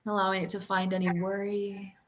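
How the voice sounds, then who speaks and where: A woman leading a guided sleep meditation in a slow, soothing voice that often drops close to a whisper. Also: medium pitch, level tone, a little hiss or hum.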